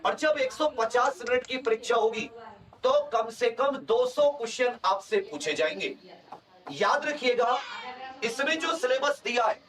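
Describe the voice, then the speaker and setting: Male coaching teacher, very high voice, explanatory lecture, emphatic repetition, distant from the mic. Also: expressive, measured pace, a bit bright. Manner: emphatic repetition of key points. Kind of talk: explanatory lecture to exam aspirants.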